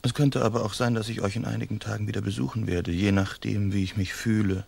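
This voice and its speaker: Languid noble gentleman, radio drama, formal and faint-hearted, close dry recording. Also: fairly low pitch, steady tone, fast talker, gravelly, husky, dry recording, exaggerated voice. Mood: courtly. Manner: formal, mannered, polite. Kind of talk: German radio drama acting.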